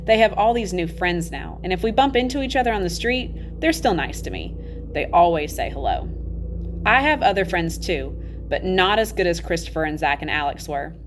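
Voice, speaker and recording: A matter-of-fact ten-year-old boy tells his own storybook tale , read by a woman with a slightly low voice. The delivery is candid and confiding, like plain conversation. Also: big pitch swings, normal speed, faint music behind. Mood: chatty, reflective. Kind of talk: children's novel read-aloud.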